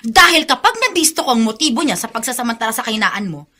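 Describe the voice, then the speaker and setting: An actress playing a haughty, jealous rich young woman in a radio soap, sneering and sharp-tongued. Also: fairly high pitch, sing-song, fast talker, full character voice. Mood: scornful, threatening. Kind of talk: Filipino radio soap acting.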